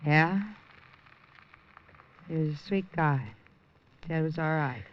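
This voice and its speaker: An actress playing a boozy nightclub dancer who turns scared and defensive, in 1950s radio drama style. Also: female voice, low-pitched, slow. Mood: world-weary. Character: boozy showgirl in a cheap club, tough and scared. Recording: dull old radio broadcast.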